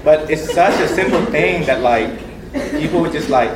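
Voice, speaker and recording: A young man from Thailand with a Thai accent, whose first language is Thai, sharing personal experiences in a group discussion in an earnest, animated way with lots of fillers. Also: a little high, moderate pace, very noisy. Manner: earnest, animated, laughs often, many fillers. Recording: roomy echo with clear background ambience.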